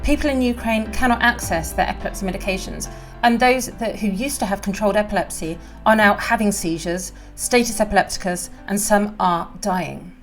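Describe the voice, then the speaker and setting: A woman's voice: medium pitch, a little fast, soft background music. A woman hosting an interview show, asking questions and pressing her points in a concerned, emphatic way. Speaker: host. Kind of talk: video interview.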